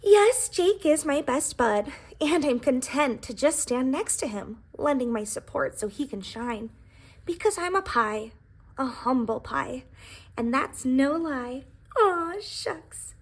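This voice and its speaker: A woman's voice: sits high, expressive, slow, full character voice. Modest, bashful little pie voiced by a woman. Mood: content, bashful. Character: humble, modest, self-effacing male pie from a picture book.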